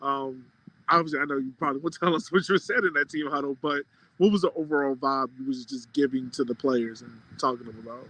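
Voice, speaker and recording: A man's voice: mid-range voice, fast, pushed and bright, rough recording. A man hosting a podcast, speaking in a casual sports-interview style.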